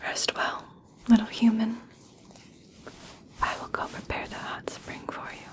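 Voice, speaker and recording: A woman playing a gracious, coaxing elf princess in a whispered ASMR roleplay, speaking softly and soothingly with formal politeness. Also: on the high side, monotone, lightly acted. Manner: soft, slow, soothing, formal. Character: regal elf princess, gracious, coaxing, subtly conditional kindness.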